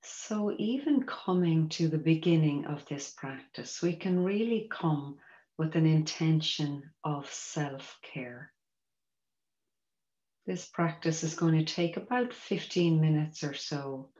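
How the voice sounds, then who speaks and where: Meditation guide, female, low register, gentle and measured delivery, inviting tone; dull-sounding recording in an echoey room. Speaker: mindfulness teacher guiding a body scan. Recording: roomy echo, dull muffled sound.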